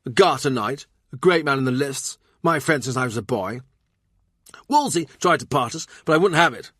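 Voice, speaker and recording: A man's voice: medium pitch, expressive, moderate pace, fairly bright tone, husky, dry recording, studio quality, pitched above the performer's own voice. A man voicing a hearty, sentimental king in an audiobook, speaking emphatically and given to fond reminiscing. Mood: fond, disbelieving.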